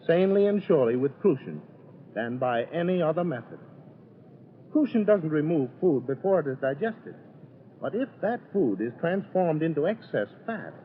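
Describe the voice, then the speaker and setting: A man working as a radio advertising announcer, delivering a 1930s-style sales pitch. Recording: tinny, noisy 1930s radio recording.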